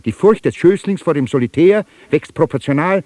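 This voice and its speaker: An airy, boastful djinn from a radio drama. The voice is husky and moves in wide, lively swings. He declaims with theatrical mockery, relishing his own grandeur. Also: fairly high pitch, moderate pace, no room sound. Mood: mocking, boastful. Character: boastful, taunting djinn, a conjured airy spirit. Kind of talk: literary radio drama acting.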